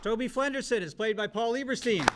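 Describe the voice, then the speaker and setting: A man speaking to a gathering as the host of a table read, announcing names and thanks one by one with pauses. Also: extremely high pitch. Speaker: showrunner hosting a cast table read. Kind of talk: welcome speech and roll call read aloud to a gathering. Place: large room full of people at a live event. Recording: noisy room with loud crowd.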